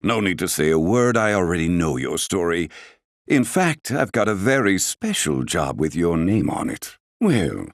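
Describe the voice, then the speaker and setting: A suave, calculating casino boss from a video game, speaks in a deep voice with very varied intonation. He is formally polite and insinuating, always angling for a deal, and the recording is dry and close. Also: sing-song, moderate pace, dry recording. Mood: businesslike, scheming. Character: smooth-talking, calculating casino owner and card dealer. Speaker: game character voice actor. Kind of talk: scripted video game dialogue.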